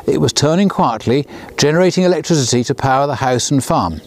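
A man in his sixties, a children's author, reading his own storybook aloud outdoors beside a small railway, lifting his voice for the characters. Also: fairly quick. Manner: lively storyteller, lifts pitch for characters' lines.

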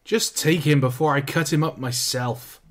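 A man voicing a gruff, blunt scrapyard foreman. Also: fairly high pitch, normal speed, close to an everyday voice. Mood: offhand blunt. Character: blunt working-class male scrapyard foreman.

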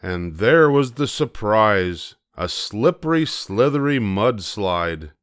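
An older man narrating a children's story expressively, with deliberate pauses for effect. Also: lowish voice, animated, fairly bright tone, no echo, studio quality.

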